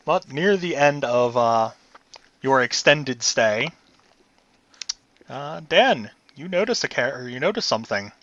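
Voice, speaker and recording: Bright-voiced man running a tabletop game. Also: mid-range voice, slow pace, pauses often, no echo. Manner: relaxed, laid-back narration with frequent fillers. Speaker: game master. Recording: dull lo-fi recording.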